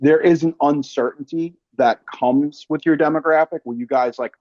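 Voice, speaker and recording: Higher-voiced American man, casual podcast host. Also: fairly even intonation, moderate pace, darkish tone, no echo, dull audio. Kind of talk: casual conversational interview.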